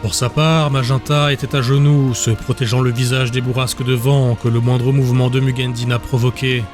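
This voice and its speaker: A man's voice: mid-range voice, level tone, moderate pace, music in the background. A man narrating a dramatic fantasy audiobook from a script.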